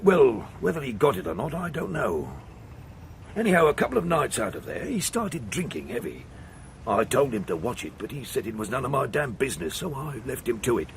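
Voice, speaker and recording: An actor playing a rough, self-serving sea captain in a radio drama, with a working-class English accent and colloquial, grumbling speech. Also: male voice, mid-range voice, sing-song, brisk, a little hiss or hum. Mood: aggrieved. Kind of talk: radio drama character acting.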